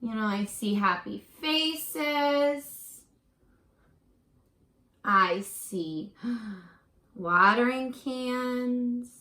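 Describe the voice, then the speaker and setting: A woman doing an animated read-aloud for young children as a teacher, gentle and encouraging, pausing to put questions directly to the listener. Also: on the high side, reverberant. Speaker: teacher reading a picture book aloud to children.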